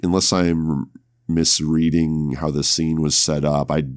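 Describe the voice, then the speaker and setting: A man with a very deep, gravelly voice who hosts and plays in a tabletop horror podcast, speaking deliberately. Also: little pitch movement, dry recording, clean studio sound. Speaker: podcast host and tabletop player. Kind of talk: scripted horror intro narration, then casual roleplay.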